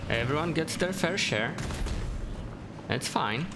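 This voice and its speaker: Creaky-voiced man doing casual game commentary. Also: on the high side, expressive, slow pace. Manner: easygoing, talks to himself. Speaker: gaming streamer. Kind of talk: casual let's play commentary. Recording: game sound and noise behind.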